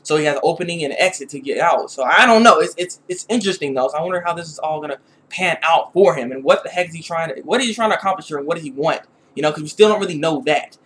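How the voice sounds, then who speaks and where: Guy doing a casual rambly episode review, talks fast, kinda high voice, repeats himself. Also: slight background noise. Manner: animated, conversational, repeats phrases. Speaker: YouTube reviewer.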